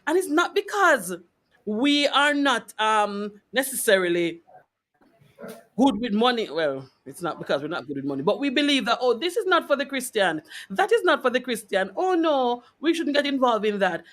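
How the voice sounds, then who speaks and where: A man's voice: very high-pitched, sing-song, moderate pace, fairly bright tone. A man hosting a conversational interview, speaking emphatically and repeating key phrases for weight. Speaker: interview host. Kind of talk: conversational faith-based interview.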